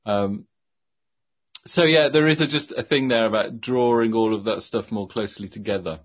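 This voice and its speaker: A man's voice: lowish voice, normal speed. A man talking as a guest on a podcast, reflective and a bit hesitant, with light laughs. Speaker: guest, copywriter. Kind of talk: casual conversation. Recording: muffled lo-fi audio.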